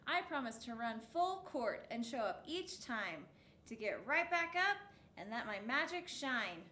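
A woman in her thirties reading a picture book aloud for children's storytime, phrase by deliberate phrase, in a roomy indoor space. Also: fairly high pitch, big pitch swings, sharp tone. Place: indoor set with backdrop, seated. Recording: roomy echo, faint background noise.

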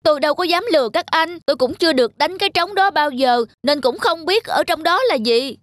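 A woman's voice: high-pitched, lively intonation, brisk, no echo. A woman voicing a clever, loyal farm dog in a children's cartoon fable, coaxing with feigned innocence. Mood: innocent, persuasive. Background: background music.

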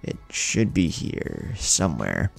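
A man's voice: a bass voice, sing-song, slow, vocal fry, faint music behind, pitched below the performer's own voice, heavily acted. A man voicing an eager, studious young ninja in a storybook narration, earnest and explanatory. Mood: absorbed, explanatory.

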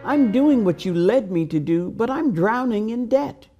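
A woman's voice: fairly high pitch, expressive, measured pace, dark tone, lo-fi sound, faint music behind, pitched above the performer's own voice. An older woman voicing a desperate woman nurse praying for help. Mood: pleading.

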